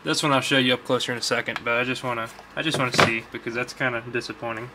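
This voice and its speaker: A man's voice: mid-range voice, fairly even intonation, very fast, heavy background noise. A young man talking casually and off the cuff, rambling informally as he shows items to viewers in a basement. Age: young adult. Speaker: host of a vintage electronics collecting channel. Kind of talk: casual unscripted show-and-tell vlog.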